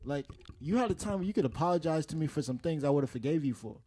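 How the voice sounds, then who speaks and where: A man's voice: fairly high pitch, expressive, fast, mellow. A man from Liberia co-hosting a podcast, chatting casually and rambling, with "you know what I mean" tossed in again and again. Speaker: podcast co-host.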